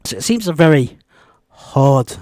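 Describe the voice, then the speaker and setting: A quiet-voiced man giving a rambling, off-the-cuff Bible study video, with many ums and restarts. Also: fairly high pitch, very animated, unhurried, no echo, studio quality. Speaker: Bible teacher.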